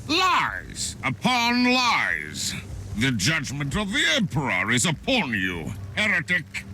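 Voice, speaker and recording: An actor playing a righteous inquisitor pronouncing judgment in a video game, solemn and declamatory, with rumbling ambience behind. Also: male voice, huge pitch swings, slow, sharp tone, a little hiss or hum, full character voice. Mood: solemn condemnation. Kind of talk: video game cutscene acting.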